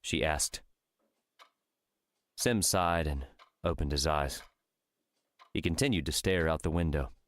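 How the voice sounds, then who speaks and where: A man narrating a scripted fiction audiobook in a measured storytelling manner. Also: rumbling low, no room sound.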